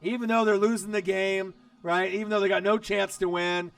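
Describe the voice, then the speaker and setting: A man hosting a casual live stream while watching football, chatty and excitable, his voice hoarse from losing it. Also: high-pitched, moderate pace, no echo, recorded from a distance. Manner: excitable, chatty, talks straight to viewers.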